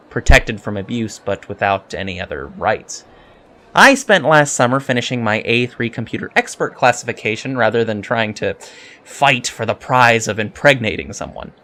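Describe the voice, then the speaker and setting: A man's voice: mid-range voice, bright, forceful tone. A man playing an earnest young alien cadet in an audio drama, speaking politely and precisely with hesitant ums. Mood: frank, earnest. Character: earnest young male alien Starfleet cadet, eager and scholarly. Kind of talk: audio drama interview acting.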